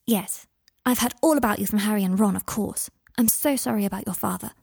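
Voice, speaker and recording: A woman voicing a brisk, clever and kindly witch in an audiobook, articulate and earnest. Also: mid-range voice, gravelly, a little mellow, slightly rough, no echo, studio quality. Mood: kind, welcoming. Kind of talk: audiobook character voice.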